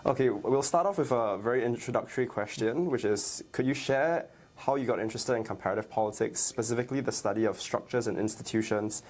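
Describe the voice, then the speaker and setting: A young man, a university student, interviewing a professor, quick and casual with many likes and yeahs. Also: mid-range voice, a little mellow. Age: young adult.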